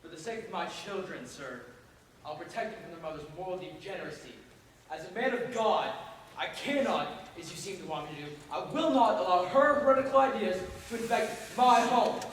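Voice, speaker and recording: Across a very echoey hall, far from the mic, a man acts a stern Victorian preacher in a stage play. His high male voice moralizes with indignant righteousness. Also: expressive, brisk, a bit bright. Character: stern, self-righteous 19th-century preacher husband. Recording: echoey hall, distant mic, faint noise.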